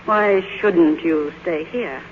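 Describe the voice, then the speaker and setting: A woman's voice: lowish voice, slow pace. An actress playing a proud, imperious faded silent-film queen in a 1950s radio drama, with grandiose, theatrical delivery. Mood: insistent, commanding. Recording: muffled, noisy vintage radio broadcast.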